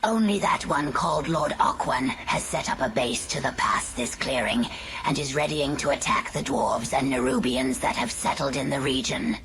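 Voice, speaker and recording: A woman playing a formal, loyal undead servant with a hoarse, breathy voice in a video game cutscene. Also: a bass voice, fairly bright tone, a little hiss or hum, low-quality recording. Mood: composed, persuasive. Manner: formal, measured.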